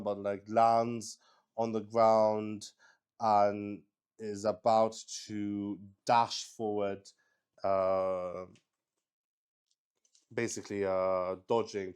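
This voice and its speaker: A man improvising narration as he plays a solo tabletop roleplaying game, thinking aloud in a halting way. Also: on the low side, a little mellow, smooth. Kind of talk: improvised game narration while rolling dice.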